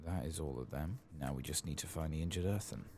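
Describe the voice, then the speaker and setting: A soft-spoken man giving ASMR commentary on a video game, hushed and often slipping into whispers. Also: very deep voice, fast, slight background noise.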